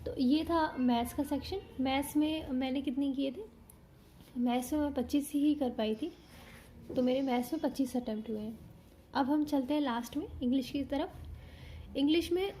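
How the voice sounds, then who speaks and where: A young woman from India casually talking through her exam, a little hoarse from a sore throat, chatty and explanatory with frequent self-corrections. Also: sits high, a little mellow, slight background noise. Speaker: exam candidate reviewing her paper. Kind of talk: casual vlog talk.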